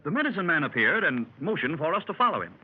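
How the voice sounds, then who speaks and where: A bold old-time radio explorer. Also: male voice, a little high, very animated, quick pace. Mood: grave. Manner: dramatic storytelling, shouting orders in the action scene. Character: daring male explorer recounting a jungle escape. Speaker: club member telling his adventure. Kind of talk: 1930s radio drama acting. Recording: muffled 1930s radio recording with hiss.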